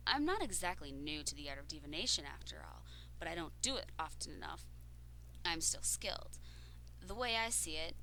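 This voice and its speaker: A woman cuts an in-character wrestling promo for an audio role-play, scornful and brash, cursing as she dismisses her rivals. Her voice sits a little low yet comes across bright and forceful. A faint hum runs under the recording. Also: rough recording. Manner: scornful and brash, swears freely. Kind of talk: audio role-play monologue, trash talk.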